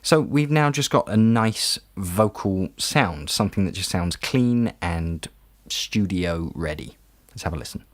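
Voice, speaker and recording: A man's voice: low voice, lively intonation, measured pace, no echo. A man narrating an informal step-by-step tutorial as an audio tutor, in a conversational, explanatory way.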